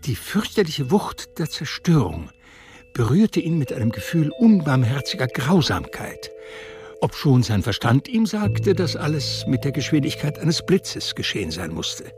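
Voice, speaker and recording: A man reading literary narration in a German radio drama, measured and reflective. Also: on the high side, very animated. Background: music.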